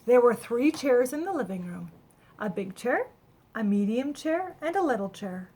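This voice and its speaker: Casting: woman to play a little storybook snowman, cheerful and naive, high voice with a slight rasp, chatty and wide-eyed. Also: big pitch swings, moderate pace, dark tone. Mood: delighted, chatty. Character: cheerful, naive, friendly little male snowman telling his adventure. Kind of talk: children's storybook read-aloud.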